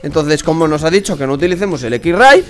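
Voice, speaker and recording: A young man with a high, quick voice. He talks fast and excitedly over his gameplay, repeating words as he goes. Faint game music plays behind him. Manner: excitable, repeats words, calls partner "compañero". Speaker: gaming youtuber. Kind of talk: casual gameplay commentary.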